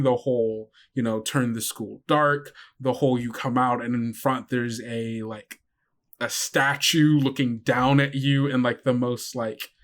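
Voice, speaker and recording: A man narrating a tabletop game as game master, halting and full of restarts. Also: medium pitch. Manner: halting, many fillers and restarts.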